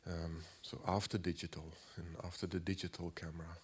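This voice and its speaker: A man in his forties with a cold, giving a halting lecture as an artist, clearing his throat often and filling pauses. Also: low voice, level tone. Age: 40s. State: has a cold.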